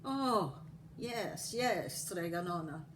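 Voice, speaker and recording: A woman voicing a big, naive, boastful young handyman in a storybook, with drawn-out words. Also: fairly low pitch, animated, background noise. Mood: placating. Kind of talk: storybook character voice.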